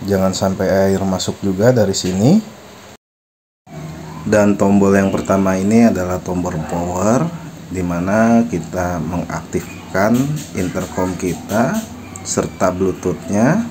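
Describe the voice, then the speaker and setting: A man's voice: low voice, measured pace, a little mellow. A man narrating a step-by-step product tutorial in an explanatory, instructional manner. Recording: faint background noise.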